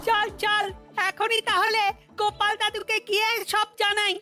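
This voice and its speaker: A woman's voice: very high-pitched, monotone, unhurried, music in the background. A woman voicing an eager, loyal small village child in a Bengali cartoon.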